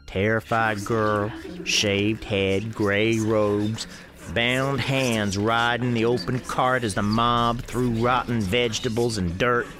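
A man playing a grave, folksy storekeeper, narrating an eerie radio drama in a measured, ominous way. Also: on the low side, a bit bright.

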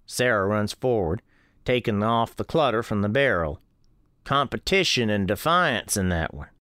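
Folksy narrator telling a radio story, lively pitch, measured, dry close sound. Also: dry recording. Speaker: storyteller, first-person narrator. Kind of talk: performed scripted radio storytelling.

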